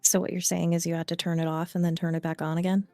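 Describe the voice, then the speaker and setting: A co-commentator on a game speedrun, chatting casually and joking in a deadpan way. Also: mid-range voice, quick pace, vocal fry, smooth, soft background music.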